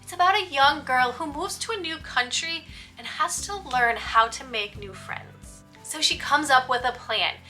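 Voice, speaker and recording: A woman hosting a scripted, upbeat book review for teachers, speaking in a clear presenter style and stressing key descriptive words. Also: high voice, bright, forceful tone.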